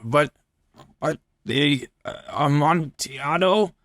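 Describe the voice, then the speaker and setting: A man performs a trusting, tipsy gentleman from a gothic storybook, doomed and uneasy. The voice sits raised in pitch, with faltering, broken phrases, in a dry, close sound. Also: slow, dry recording. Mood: confused. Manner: stammering, hesitant, broken phrases. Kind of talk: dramatic story reading.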